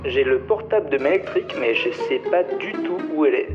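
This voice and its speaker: A man's voice: medium pitch, animated, fast talker, dull audio, over music, natural voice. A man playing a hesitant friend leaving a voicemail in an audio comedy. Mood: awkward, friendly. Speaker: amateur audio drama actor. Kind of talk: French audio comedy acting.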